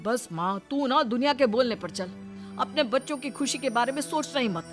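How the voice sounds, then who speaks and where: A woman's voice: a little high, expressive, normal speed, faint music behind, close to an everyday voice. A woman voicing a stubborn, impatient grown son in a dramatized comic story, petulant and insistent with his mother.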